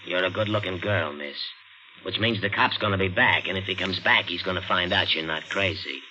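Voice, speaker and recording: A man's voice: low-pitched, fairly quick, lo-fi sound. An actor playing a menacing, slangy tough-guy cab driver in a 1950s radio thriller. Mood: coldly threatening. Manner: slangy tough-guy talk, softly threatening. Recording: hissy old 1950s radio recording.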